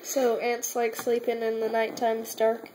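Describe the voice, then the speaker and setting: A woman's voice: fairly high pitch, monotone, normal speed, dark tone, slight background noise, low-quality recording. A young woman chatting with her mother at home on a phone camera, joking dryly and teasing herself. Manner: wry, self-mocking, joking. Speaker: daughter. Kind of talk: casual family chat. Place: home room.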